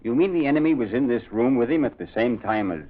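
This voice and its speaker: Man as an animated, puzzled investigator. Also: medium pitch, fast talker, natural voice. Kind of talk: old radio mystery drama acting. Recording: dull old radio recording.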